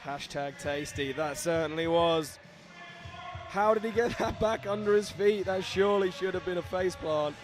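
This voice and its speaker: A young man hosting live commentary at a scooter event, excitable and laughing, reading out viewer shout-outs. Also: high-pitched, normal speed.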